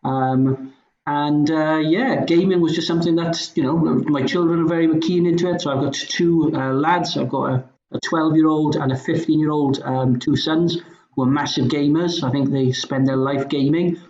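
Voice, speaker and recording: A middle-aged man with a soft, anglicised Welsh accent, talking casually as a podcast guest in hesitant, stop-start phrases. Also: a little high, steady tone, normal speed. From Wales, Swansea Valley. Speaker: podcast guest, company founder. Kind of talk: casual conversational talk. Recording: roomy echo, slightly dull sound.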